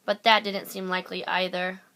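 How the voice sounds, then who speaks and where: A woman's voice: fairly low pitch, flat delivery, brisk, sharp tone. A woman narrating a children's picture book aloud as a storyteller. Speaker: storybook reader.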